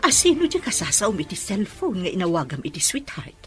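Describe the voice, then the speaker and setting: A woman with an Ilocano accent playing a stern, probing middle-aged mother in a radio drama, her voice husky. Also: medium pitch, very animated, brisk, over music, natural voice.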